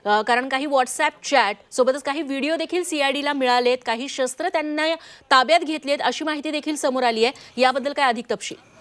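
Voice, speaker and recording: Woman, news anchor, high pitch, live bulletin delivery. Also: fairly quick, a bit bright.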